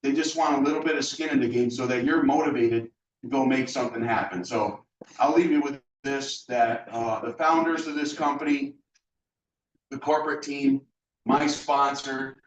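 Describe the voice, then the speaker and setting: Laid-back man pitching in an echoey room. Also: steady tone, moderate pace. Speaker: sales rep addressing guests. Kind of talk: relaxed sales pitch. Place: meeting room with an audience. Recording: dull, echoey room, far from mic.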